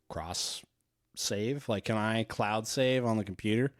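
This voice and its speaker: A man with a low voice co-hosts a podcast, talking in loose, profane banter and laughing often. His voice sounds close and dry, with little room sound around it. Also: takes it slowly, dry recording. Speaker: podcast co-host. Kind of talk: casual chat.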